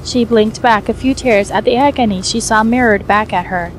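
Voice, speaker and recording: A woman's voice: a little high, normal speed, slight background noise, low-quality recording. A woman reading scripted fan fiction as the narrator.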